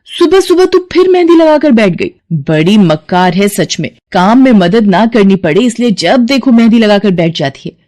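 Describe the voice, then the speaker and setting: Woman voicing a scolding, loving mother, mellow-toned. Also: medium pitch, big pitch swings, normal speed, hardly any pauses, natural voice. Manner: exasperated, motherly. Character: hardworking widowed mother, scolding but loving. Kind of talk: acted Hindi moral storybook dialogue.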